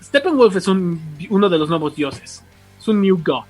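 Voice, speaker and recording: Mexican guy podcast host, pretty high voice, slangy, keeps correcting himself as he explains comic stuff, music under it. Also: sing-song. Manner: informal, slangy, halting with self-corrections. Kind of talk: casual chat explaining comics lore.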